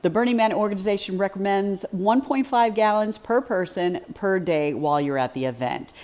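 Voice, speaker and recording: A woman giving practical wellness tips in a clear, instructive way. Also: mid-range voice, normal speed, darkish tone. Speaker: wellness presenter. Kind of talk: informative tips.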